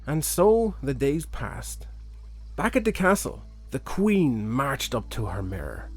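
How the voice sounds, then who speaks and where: A man's voice: medium pitch, sing-song, takes it slowly, faint music behind. A man reading a fairy tale expressively as a children's storyteller.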